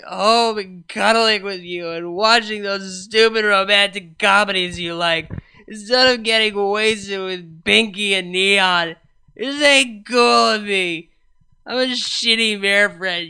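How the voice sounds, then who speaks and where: A man's voice: sits high, takes it slowly, creaky, sharp tone, clear, resonant tone, pitched above the performer's own voice, heavily acted. A man putting on a wailing drunk pony mare. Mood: sobbing drunk. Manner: slurring, wailing, overwrought.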